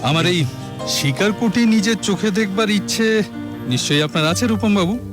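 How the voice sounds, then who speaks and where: A man's voice: high voice, normal speed, over music, lightly acted. A man acting a boastful, goading hunter-author in an audio drama, speaking Kolkata Bengali with an emphatic delivery and scoffing chuckles. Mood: persuasive. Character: boastful, needling hunter-author, married man, sceptical of mediums.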